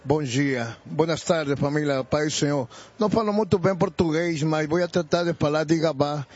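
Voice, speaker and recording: A native Spanish-speaking man with a Bolivian accent giving religious testimony haltingly, mixing in Spanish words. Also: fairly high pitch, a little mellow, husky, dull audio. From Bolivia, Santa Cruz de la Sierra. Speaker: church member giving testimony. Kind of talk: religious testimony in a live interview.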